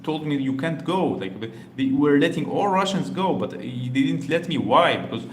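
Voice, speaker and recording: Man, from Algeria, reaction host, halting and filler-heavy delivery, roomy echo, faint hum behind. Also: a little high, lively intonation. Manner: halting, many fillers and restarts. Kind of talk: casual commentary on a video.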